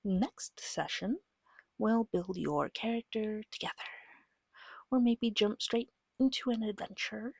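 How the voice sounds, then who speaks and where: Casting: female ASMR guide, breathy and half-whispered with soft fry, patient and soothing delivery, dry intimate close-mic sound. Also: mid-range voice, lively intonation, dry recording. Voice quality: breathy, often slipping into whisper. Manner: gentle, patient, reassuring, slow and deliberate. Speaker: game master and ASMR host. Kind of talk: soft-spoken ASMR guide to a tabletop game. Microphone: close microphone.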